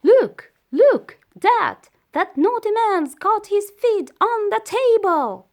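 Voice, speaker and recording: A woman voicing a friendly, naive, soft-hearted male pet dog from a children's storybook in an earnest way. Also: very high-pitched, lively intonation, takes it slowly, voice pushed up, heavily acted. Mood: excited tattling.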